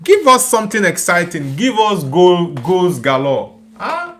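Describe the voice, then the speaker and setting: A young man from Nigeria hosts a live football watchalong. His voice sits a little high for a man. He talks in a loose, chatty way and laughs easily while reading out viewer comments. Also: big pitch swings, a little slow, a bit bright. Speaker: watchalong streamer. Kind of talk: casual live match reaction and chat reading.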